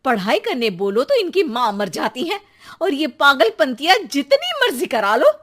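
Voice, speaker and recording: Woman acting a shrill, high-pitched scolding cartoon mom. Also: sing-song, normal speed, a bit bright. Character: exasperated, scolding young mother, quick-tempered and sarcastic. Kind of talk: Hindi cartoon story dialogue.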